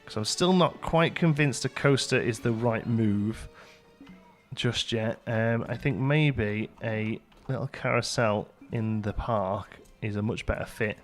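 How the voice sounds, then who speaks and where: A man's voice: lowish voice, unhurried, soft background music. A man hosting a let's play, chatting casually and thinking aloud as the game goes along. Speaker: gaming video host.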